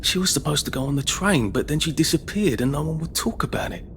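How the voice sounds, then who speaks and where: A man's voice: fairly high pitch, expressive, fast talker, slight background noise. An actor playing a polite, anxious young man with a British accent in a video game, hesitant and wry. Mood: wry, incredulous. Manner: hesitant, sardonic.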